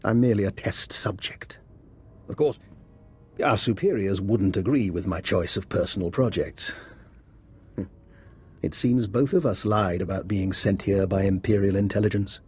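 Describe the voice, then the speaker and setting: A scheming scientist spy in a video game. His husky, fairly low voice is formal and polite, always calculating and persuading. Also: moderate pace, pauses often, soft background music. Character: cunning male scientist agent, smooth-mannered, scheming, politely persuasive. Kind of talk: video game cutscene voice acting.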